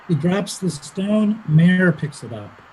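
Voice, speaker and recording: A woman's voice: lowish voice, big pitch swings, takes it slowly, darkish tone, slightly rough, rough recording. A woman reading stage directions aloud as scripted narration in a play reading, with faint noise behind her.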